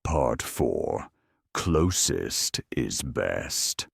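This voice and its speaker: A man narrating a grimdark war story in a grave, measured, dramatic way with wry deadpan humour. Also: a bass voice, vocal fry, slightly rough, no echo, studio quality.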